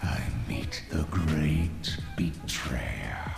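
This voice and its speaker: An actor playing a menacing, gloating male demonic villain with slow, theatrical delivery in a video game cutscene. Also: extremely low pitch, expressive, gravelly, exaggerated voice. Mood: sinister, reverent. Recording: echoing hall reverb, loud game music.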